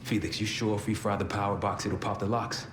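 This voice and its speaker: An actor playing a tough, wry special-ops field agent in a video game, talking in a blunt, clipped way. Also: male voice, lowish voice, little pitch movement, brisk, background noise, close to an everyday voice. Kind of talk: video game cutscene acting. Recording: dialogue under loud action score.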